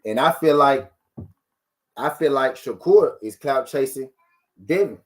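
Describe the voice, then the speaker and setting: A man's voice: mid-range voice, lively intonation, measured pace. A man hosting a live boxing talk show, arguing his point with rhetorical questions, repeating himself and greeting viewers from the chat.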